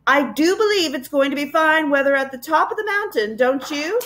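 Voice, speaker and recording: A steady, courteous storybook professor, read by a woman in a highish, very lively voice. He speaks with encouraging emphasis and determined calm. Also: fairly quick, slight background noise. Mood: pointedly cheerful. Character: steady, polite, encouraging male professor leading children.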